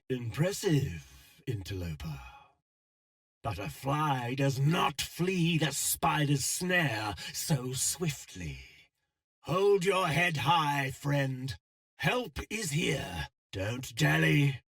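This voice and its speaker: Theatrical, swooping game villain. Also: male voice, mid-range voice, creaky, a bit bright, no room sound, clean studio sound, exaggerated voice. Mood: boastful, mocking, then commanding. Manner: pompous, florid, alliterative, theatrical delivery. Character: eloquent, scheming male syndicate villain with a theatrical flair. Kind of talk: video game villain voice lines.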